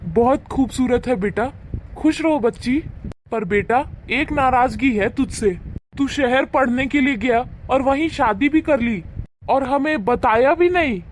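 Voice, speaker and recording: A man voicing a poor old village farmer, a caring but wary father, in an animated moral story. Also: very high voice, measured pace, slight background noise. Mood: fond, reproachful.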